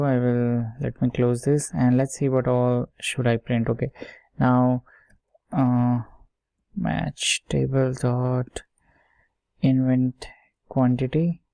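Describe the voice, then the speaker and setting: Slow, even-toned man giving a tutorial. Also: medium pitch, gravelly, no echo. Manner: halting, step-by-step, checks himself often. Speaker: software tutorial instructor. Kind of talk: informal screen-share coding tutorial, thinking aloud.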